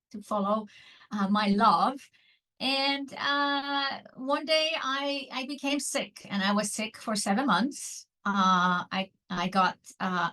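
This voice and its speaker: A woman, an online business mentor telling her story as a guest, earnest and pausing often with fillers. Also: medium pitch, fairly bright tone. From Iceland. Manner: earnest, frequent filler pauses.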